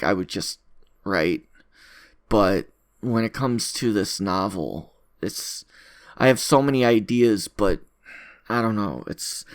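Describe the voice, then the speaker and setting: A man talking casually in an unscripted audio log, rambling in a self-deprecating way and repeating his phrases. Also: mid-range voice. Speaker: content creator recording an audio log.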